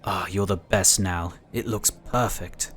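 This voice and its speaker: An actor playing an amiable, knowledgeable psionic inquisitor who explains things in a talkative, encouraging way in an audio drama. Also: male voice, low-pitched, slow pace, fairly bright tone, full character voice. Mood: friendly.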